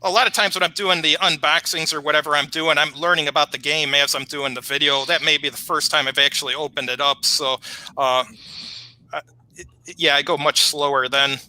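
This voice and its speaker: Middle aged chicago guy, chatty fast talker, sharp bright voice, bit high, dry sound. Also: steady tone, poor audio. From Chicago, United States. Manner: rapid-fire, chatty talker. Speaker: guest, war game reviewer. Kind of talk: casual interview chat.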